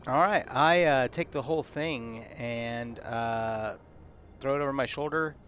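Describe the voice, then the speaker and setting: A man's voice: mid-range voice, measured pace, fairly bright tone, slight background noise. Laid-back male tabletop player. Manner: laid-back, short checks with the game master. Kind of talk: tabletop roleplay chat.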